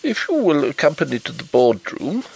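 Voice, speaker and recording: A man putting on a fussy, high-strung bank manager from a storybook, his voice pitched well above the narrator's and climbing. He stammers and repeats himself in agitation, with faint music behind. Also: wildly swooping pitch, moderate pace, creaky, a little mellow, slightly rough, exaggerated voice, poor audio. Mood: anxious.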